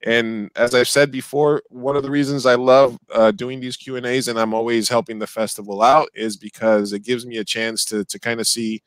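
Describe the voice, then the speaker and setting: A man's voice: mid-range voice, flat delivery, no echo, low-quality recording. Man hosting a festival Q&A on a video call. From Colombia and Uruguay. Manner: halting, repeats words while searching for phrasing. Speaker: festival Q&A host. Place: home room with bookshelves. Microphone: headset mic on a video call.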